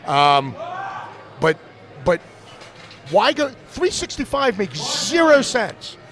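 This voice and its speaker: A man's voice: high-pitched, big pitch swings, slow pace, a bit bright. A man doing live sports commentary, blunt and opinionated, often repeating words as he thinks. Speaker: lead commentator.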